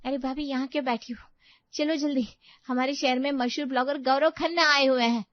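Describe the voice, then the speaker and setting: A woman acts out a helpful young sister-in-law for a cartoon family tale. She is high-pitched, eager and chirpy. The recording is close and dry, a little dull. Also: steady tone, a little fast, no echo, close to an everyday voice. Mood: excited. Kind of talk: animated moral-story dialogue.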